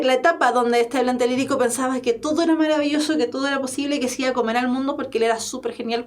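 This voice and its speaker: High-voiced woman teaching. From Chile. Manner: explanatory, asks rhetorical "¿cierto?" often. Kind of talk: online exam-prep class.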